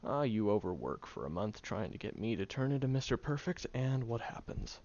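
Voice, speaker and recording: A man's voice: fairly low pitch, sing-song, a little fast, darkish tone. A man playing a sarcastic, exasperated tsundere young man who grudgingly looks after someone in an audio roleplay, speaking straight to the listener. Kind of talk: audio roleplay acting, spoken directly to the listener. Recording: dull lo-fi audio with faint noise.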